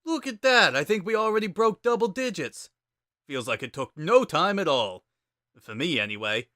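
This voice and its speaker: A man's voice: high voice, sing-song, moderate pace, bright, forceful tone, no echo, clean studio sound, pitched above the performer's own voice, heavily acted. A man voicing a laid-back, wry joker with a casual, sardonic drawl in a dramatic story reading. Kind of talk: dramatic reading of fan fiction.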